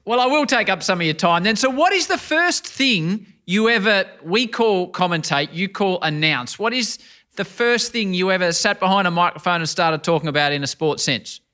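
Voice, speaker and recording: Male podcast host, bright tone, slightly high, polite. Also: expressive, moderate pace. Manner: polite, easygoing questioning. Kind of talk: interview questions.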